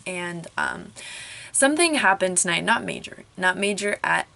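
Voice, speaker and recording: A young woman vlogger, slightly hoarse from losing her voice, ranting casually to a camera propped up in her closet, full of "like" and "um". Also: fairly low pitch, expressive, moderate pace, pushed and bright. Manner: chatty, frequent "like" and "um", rising frustration. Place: small closet at home, clothes all around. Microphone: camera propped up on a crib.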